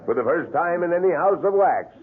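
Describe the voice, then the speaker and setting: A man's voice: fairly high pitch, expressive, fairly quick, close to an everyday voice. An actor playing a wax-museum tour guide with a showman's patter, in a 1970s radio drama heard over a tinny old broadcast. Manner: showman patter on tour, confiding when retelling.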